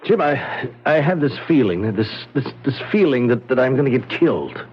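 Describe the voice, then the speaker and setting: Husky, jumpy, haunted radio scientist. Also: male voice, medium pitch, very animated. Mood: fearful, nervous. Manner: halting, repeating words. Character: jumpy, haunted male computer scientist, fearful and insistent. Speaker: radio drama actor. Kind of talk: 1970s radio drama acting. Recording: dull 1970s radio recording.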